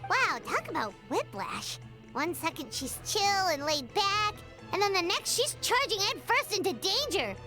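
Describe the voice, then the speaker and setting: Tiny floating girl sidekick, very high squeaky voice, bouncy sing-song delivery, teasing, game music behind. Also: very animated, pushed and bright, heavily acted. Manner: bubbly, teasing, quick exclamations. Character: tiny floating girl companion, excitable and sassy. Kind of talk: video game voice acting.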